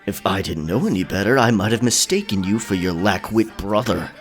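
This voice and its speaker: An actor playing a gruff, protective tough man in a historical audio drama, growling with contempt. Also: fairly low pitch, fairly quick, faint music behind, close to an everyday voice. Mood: contemptuous. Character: gruff, defiant, protective male pirate-era tough.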